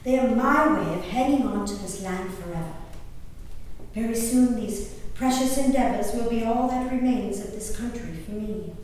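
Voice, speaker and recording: Woman performing a high-voiced colonial gentlewoman, echoey hall. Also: normal speed, lightly acted. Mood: wistful gratitude. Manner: theatrical, earnest, emotive, formal diction. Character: grieving, nature-loving 18th-century colonial gentlewoman reading her diary. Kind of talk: dramatic stage monologue reading of a diary. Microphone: distant room microphone. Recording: very echoey hall, distant mic, background hiss.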